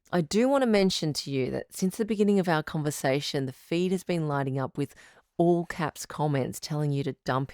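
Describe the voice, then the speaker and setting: A woman playing a calm, supportive radio advice doctor in an audio drama, speaking in a measured, articulate, professional counselling tone. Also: sits low, smooth, no echo, studio quality, natural voice. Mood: firm, concerned. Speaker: radio advice show host, sex therapist.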